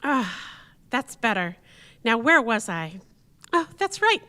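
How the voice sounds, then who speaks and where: A woman's voice: fairly high pitch, very animated, slow, bright, forceful tone, full character voice. A woman playing a chirpy, oblivious, chatty wife in exaggerated live radio play style. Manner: chirpy, exaggerated, talks to herself. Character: breezy, oblivious wife, chatty and self-absorbed. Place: senior center hall before live audience. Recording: echoey hall with long reverb.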